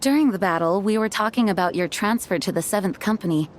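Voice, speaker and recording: A woman's voice: mid-range voice, fast talker, slight background noise, lightly acted. A woman voicing a gracious, dutiful young knight commander in a video game, courteous and earnest. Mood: probing.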